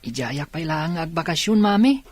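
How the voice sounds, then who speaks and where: A man's voice: on the high side, animated, moderate pace, breathy edge, no room sound. A man playing an eager, coaxing schoolboy son in an audio drama.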